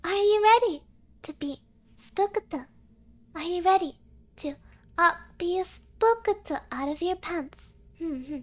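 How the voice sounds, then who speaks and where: Very high, girlish woman's voice, slow-paced. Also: lively intonation. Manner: sprinkles Japanese words into English. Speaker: VTuber host of an ASMR horror-story audio. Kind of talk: playful scripted ASMR roleplay with casual chat.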